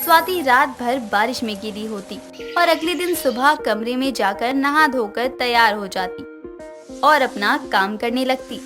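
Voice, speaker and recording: Casting: female storyteller, high-pitched voice, scripted moral-tale narration with faint music underneath. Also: measured pace.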